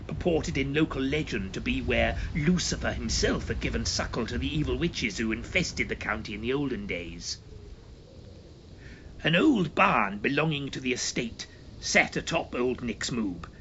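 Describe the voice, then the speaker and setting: A man's voice: medium pitch, fairly bright tone, muffled recording, slight background noise. A man reading a comic horror story in a pompous, theatrical, mock-serious storytelling manner. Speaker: story reader and performer. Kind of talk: dramatic scripted narration of comic horror.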